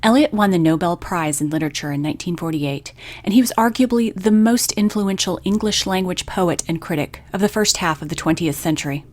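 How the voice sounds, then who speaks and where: A woman hosting a poetry radio program, reading from a script and reciting verse with measured, deliberate phrasing. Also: lowish voice, darkish tone.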